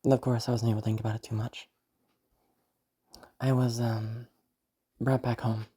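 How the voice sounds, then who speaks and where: A woman playing a detached, eerily calm sociopath who recounts the past in a halting, musing monologue with a husky, creaky voice. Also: rumbling low. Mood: detached reminiscing. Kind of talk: first-person audio roleplay monologue.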